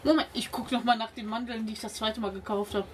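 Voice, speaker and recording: A woman with a high voice takes part in a tabletop role-playing game. She chats casually, speaking as her character. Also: fairly even intonation, fast talker, far from the mic, a little hiss or hum.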